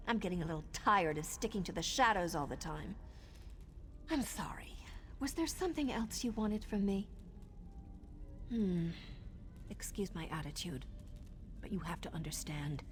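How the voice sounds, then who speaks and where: A woman acts a reckless, embittered assassin from a fantasy game. Her medium-pitched voice is sardonic and full of feeling. A light bed of noise sits behind her. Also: lightly acted. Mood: sardonic, resentful. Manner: sardonic, emotional. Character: embittered, restless, reckless female assassin, defensive and proud.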